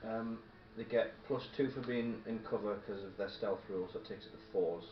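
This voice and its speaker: A man's voice: fairly low pitch, fairly even intonation, fairly quick. A man chatting casually and explaining tabletop game rules, recorded in a room away from the microphone. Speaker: tabletop war game player. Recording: distant, muffled, echoey room with faint noise.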